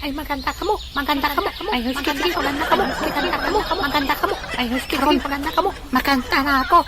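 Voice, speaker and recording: A woman's voice: sits high, fast talker, a loud crowd, very noisy, lightly acted. A woman playing an eager, comical uncle in an audio drama, with a Filipino accent and an exclamatory, repetitive delivery. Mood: chanting, excited. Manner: exclamatory, repeats phrases.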